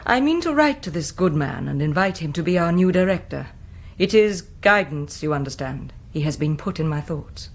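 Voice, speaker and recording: A British woman playing an intense, brooding Mother Superior in a radio drama, moving between command and hush. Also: deep voice, lively intonation, moderate pace. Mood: secretly intent. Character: troubled, imperious Mother Superior of a convent.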